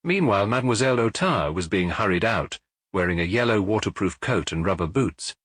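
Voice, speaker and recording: A man giving an expressive scripted narration of a detective story as an audiobook narrator. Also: fairly low pitch, fairly quick, slightly rough, no echo, clean studio sound.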